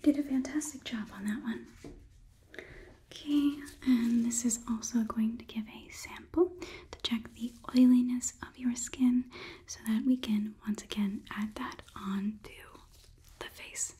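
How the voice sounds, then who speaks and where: A young woman playing a polite, clinical robot overlord in a gentle, methodical ASMR whisper, close to the microphone. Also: a little high, fairly even intonation, measured pace, close to an everyday voice. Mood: calm, clinical. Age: young adult. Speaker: ASMR performer.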